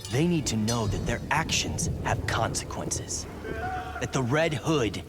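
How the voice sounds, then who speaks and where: A man's voice: medium pitch, animated, unhurried, loud music behind the voice, close to an everyday voice. A man playing a hard, defiant, gun-toting vigilante antihero in a video game monologue. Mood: grim, resolute.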